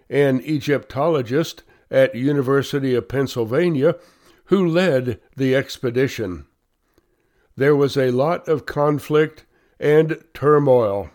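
A male volunteer reader with a cold, reading magazine articles aloud slowly and carefully. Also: medium pitch, darkish tone. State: has a cold.

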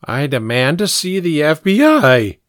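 A smug teenage boy acted out by a male narrator, pitched up and prone to squeaks. He speaks in lofty, formal phrases with indignant dignity, as in an audiobook story, with lively intonation and a dry, close sound. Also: measured pace, dry recording. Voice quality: squeaky, breaking adolescent voice. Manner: formal, dignified, precise wording. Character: smug, lofty fourteen-year-old boy genius, indignant.

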